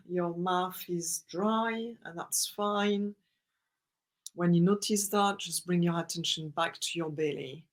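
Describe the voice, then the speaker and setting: A breathwork guide with a gentle, soothing manner and an unhurried pace, leading a client through deep belly breathing. Between instructions, the guide speaks calm affirmations for the client to repeat. The voice sits at a middle pitch. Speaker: breathwork facilitator.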